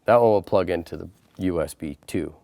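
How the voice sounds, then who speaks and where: A man with a fairly low voice narrates a how-to installation guide. He speaks plainly and methodically, one step after another. The sound is close and dry. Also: brisk, dark tone, no room sound. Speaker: installation tutorial presenter.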